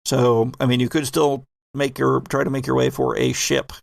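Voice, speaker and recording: A man with a gravelly, medium-pitched voice runs a tabletop roleplaying game. He phrases things deliberately, with pauses, as he narrates and puts questions to players. The sound is close and dry. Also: little pitch movement, no room sound. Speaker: game master and podcast host.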